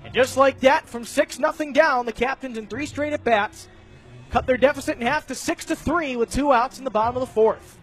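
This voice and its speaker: An American man doing live radio play-by-play of a baseball game, describing each pitch and play in detail. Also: a little fast, soft background music. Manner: detailed, narrates each pitch, animated on big plays.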